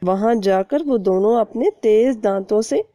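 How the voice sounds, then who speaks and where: A woman's voice: mid-range voice, unhurried, mellow, dry recording, studio quality. A woman narrating a scripted cartoon story as storyteller.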